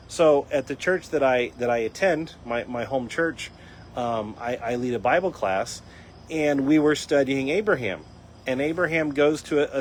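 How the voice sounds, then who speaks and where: Earnest man, a little raspy. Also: fairly high pitch, a little hiss or hum. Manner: earnest, teaching tone with frequent restarts. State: raspy voice from dryness. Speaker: host. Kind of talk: casual talk on a live stream.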